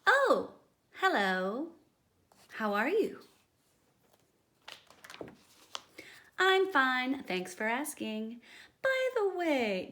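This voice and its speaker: A woman's voice: fairly high pitch, a bit bright, full character voice. A woman voicing a demanding, whiny pigeon given to theatrical outbursts in a children's storybook. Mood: chatty, wheedling. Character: demanding, dramatic, tantrum-prone cartoon pigeon. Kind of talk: children's storybook read-aloud.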